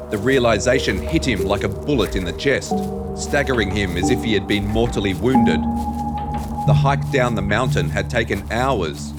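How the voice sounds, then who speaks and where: A man narrating scripted fiction for an audio drama in a dramatic storytelling style. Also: lowish voice.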